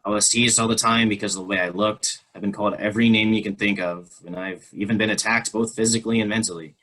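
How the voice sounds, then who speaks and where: Low-voiced reader for an emotional teen role in a video call play reading, sincere and subdued. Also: male voice, fairly even intonation, quick pace, poor audio. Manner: earnest, quietly emotional.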